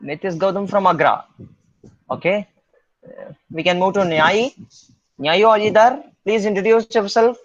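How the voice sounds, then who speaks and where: A man's voice: high voice, unhurried, long pauses, no room sound. A man hosting an online meetup, inviting people one by one in a hesitant way with many fillers. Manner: hesitant, many "uh" fillers, calls names in turn. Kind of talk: casual virtual meeting. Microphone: video call. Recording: dull, dry video call audio.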